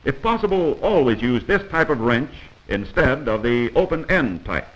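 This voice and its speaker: A man's voice: mid-range voice, big pitch swings, moderate pace, fairly bright tone, dull audio. An American man narrating a 1940s instructional film in a commanding, corrective manner, on a vintage film soundtrack.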